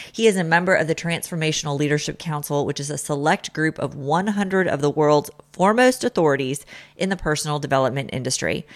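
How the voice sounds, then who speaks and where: A middle-aged woman hosting a podcast, upbeat and emphatic, rattling off lists in quick bursts. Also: sits low. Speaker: podcast host. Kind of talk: scripted intro then interview.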